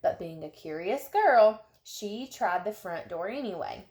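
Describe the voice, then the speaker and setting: Expressive, animated woman storyteller. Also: medium pitch, reverberant. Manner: dramatic, drawn-out emphasis. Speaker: children's storyteller.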